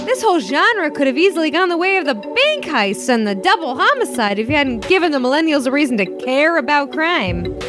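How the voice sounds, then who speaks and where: An actress playing a condescending, smooth-talking corporate regional coordinator in an audio dramedy, brisk and bureaucratic in manner. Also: female voice, sits high, sing-song. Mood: flattering. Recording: music behind the voice.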